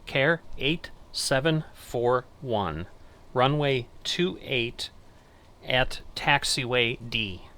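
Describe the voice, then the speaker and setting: A middle-aged man giving casual gameplay commentary and controller commands, thinking aloud in an unhurried way. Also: medium pitch, pushed and bright, slight background noise. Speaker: gaming channel host playing air traffic controller.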